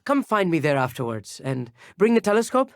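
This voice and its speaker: A man's voice: fairly high pitch, sing-song, a little fast, dry recording, studio quality. A young man voicing an eager, bookish teenage schoolboy wizard in a video game, articulate, formal and slightly pompous, nervous but boastful. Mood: eager, proud. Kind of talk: video game character dialogue.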